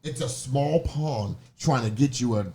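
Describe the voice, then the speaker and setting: A man's voice: medium pitch, measured pace. American man ranting on a casual podcast. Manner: animated storytelling, slangy, acts out quoted remarks. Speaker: podcast host. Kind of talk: casual group banter and opinionated rants.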